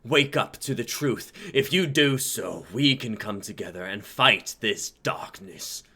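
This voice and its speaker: A man voicing an ancient, vengeful dragon king in a dramatic reading, with a breathy growling whisper and grandiose speech. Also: medium pitch, lively intonation, sharp tone, heavily acted. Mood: wrathful. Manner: slow, grandiose, archaic speech.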